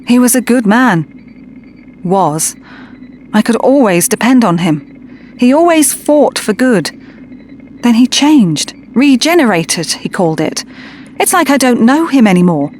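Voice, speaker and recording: A woman's voice: on the high side, sing-song, unhurried, long pauses, darkish tone, a little hiss or hum. A woman playing a troubled, conflicted friend in an audio drama, with an earnest, questioning, wistful delivery. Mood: wistful, hurt.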